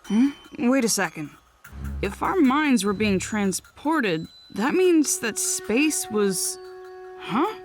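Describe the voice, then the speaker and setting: Excitable, blunt young ninja kid. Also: female voice, a little high, slow pace. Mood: puzzled, startled. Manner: reactive, exclamatory. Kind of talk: anime video game cutscene acting. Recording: music under the dialogue.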